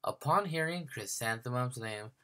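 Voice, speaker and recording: A man narrating a children's story expressively, with dramatic, deliberate emphasis. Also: mid-range voice, animated, pushed and bright. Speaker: storybook reader.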